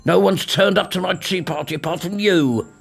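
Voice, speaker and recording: A man voicing a scatty, childish, excitable male storybook eccentric who stammers and repeats words frantically. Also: sits high, normal speed, creaky, slightly rough, faint music behind, voice pushed up, full character voice. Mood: petulant.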